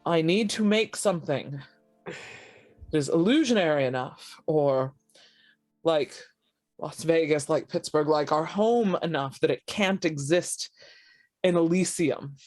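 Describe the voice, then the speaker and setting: A woman's voice: low voice, unhurried, long pauses, darkish tone, soft background music. A woman playing a shaken, sick and desperate young woman with a brooding bent, in a horror tabletop roleplay. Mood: strained, reasoning.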